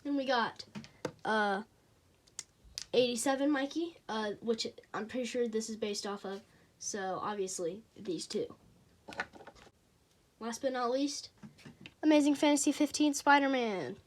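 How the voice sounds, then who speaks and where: A teenager with a childlike voice, reviewing toy figures in a casual, hesitant way with frequent pauses and fillers. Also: slight background noise. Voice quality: childlike, high voice.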